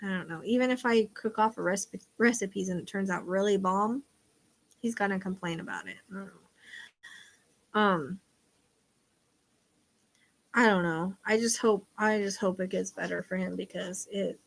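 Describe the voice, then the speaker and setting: Middle-aged woman, psychic show host, loud voice, rambling confessional chat. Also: mid-range voice, unhurried, vocal fry, pauses often, low-quality recording. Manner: rambling, confiding, trails off quietly. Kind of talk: casual chat.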